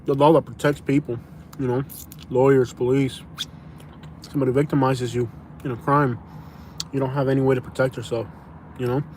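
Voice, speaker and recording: A man's voice: mid-range voice, flat delivery, a little fast, pauses often, darkish tone, a little hiss or hum. A young man from Florida, casually answering viewer questions on a live stream, rambling and restarting his sentences, calling people "bro". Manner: rambling, repeats himself and restarts, says "bro".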